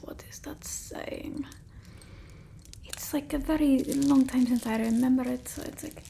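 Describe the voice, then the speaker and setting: A quirky, absent-minded vampire woman played by a female ASMR performer mutters about old folk remedies in an Eastern European accent. Her voice is high, soft and mellow, often sinking to a breathy whisper. She takes her time and rambles, with some background noise in the close recording. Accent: put-on Eastern European.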